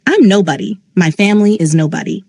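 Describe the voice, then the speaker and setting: A woman's voice: mid-range voice, lively intonation, brisk, darkish tone, no room sound. A bewildered young woman speaks in a small, uncertain voice, as a woman acts her out. The sound is dry and close to the mic. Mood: shaken.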